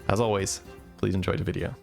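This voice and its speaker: A man giving relaxed, chatty game commentary and answering chat questions, with faint music behind. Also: low voice, quick pace, gravelly. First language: Dutch. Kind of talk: casual gameplay commentary.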